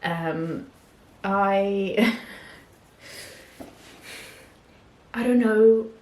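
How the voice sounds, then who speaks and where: A woman talking casually about films she has watched, pausing often with ums and restarting her sentences. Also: mid-range voice, darkish tone, reverberant. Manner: hesitant, many ums and restarts. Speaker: film vlogger. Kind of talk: casual film review talk.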